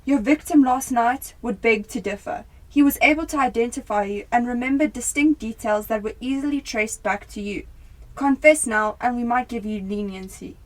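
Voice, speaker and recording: A young woman playing a sharp, determined police detective in a radio drama. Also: a little high, moderate pace, far from the mic, lightly acted, rough recording. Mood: stern, commanding. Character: sharp, determined female police detective piecing clues together. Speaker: student actor in a school radio play.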